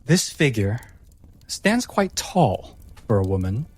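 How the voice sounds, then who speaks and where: Guy running a tabletop game, deliberate dramatic narration, average pitch, soft music behind him. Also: very animated, slightly rough. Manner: measured, deliberate storytelling with pauses. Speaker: game master.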